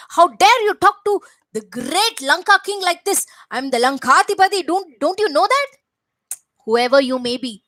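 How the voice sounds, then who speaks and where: A woman's voice: very high voice, no echo, voice pushed up. Ravana, a woman voicing a roaring demon king. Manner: roaring, haughty, scornful. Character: furious arrogant demon king, male, ten-headed.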